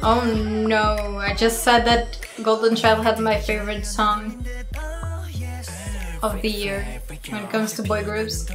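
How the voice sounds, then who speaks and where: A woman's voice: medium pitch, completely flat delivery, takes it slowly, long pauses. A young woman whose first language is Portuguese, giving gushing, exclamatory reaction commentary at home, laughing often. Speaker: K-pop reaction vlogger. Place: small bright room at home, indoors. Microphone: earphone headset mic. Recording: roomy home echo with faint music behind.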